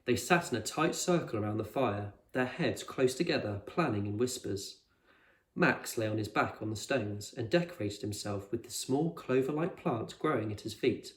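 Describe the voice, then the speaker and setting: A man's voice: lowish voice, lively intonation, normal speed. A man reading a storybook aloud as a teacher would, in scripted narration for children, in an echoey room.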